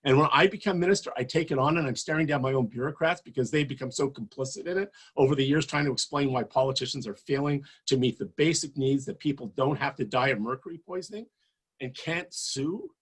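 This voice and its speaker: A man in his sixties delivers an impassioned campaign speech, pressing his points with emphatic force. His voice is husky, and he sits at a middle pitch. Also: fast. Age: 60s. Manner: passionate, emphatic, rising to forceful peaks. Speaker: political candidate.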